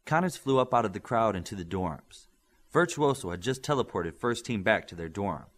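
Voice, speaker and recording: A man reading an audiobook aloud, with steady, expressive storytelling. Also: on the low side, moderate pace. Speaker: audiobook reader. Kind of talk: audiobook narration.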